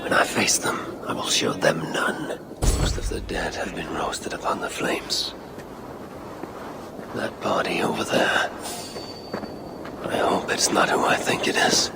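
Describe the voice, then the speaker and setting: An actor playing a brooding, resolute Norse warrior god in a video game, speaking gravely and deliberately in a gravelly voice. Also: male voice, low voice, steady tone, in a whisper. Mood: somber, vengeful. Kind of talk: video game dramatic acting and musing. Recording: loud background ambience and noise.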